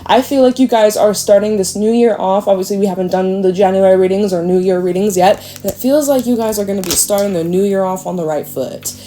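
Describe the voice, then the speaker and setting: A woman with a mellow, soft-edged voice gives a casual tarot reading to her viewers. She sounds a little congested and gently encourages them, asking question after question. A faint hum sits behind her. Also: mid-range voice, a little fast, hardly any pauses. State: congested nose. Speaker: tarot reader.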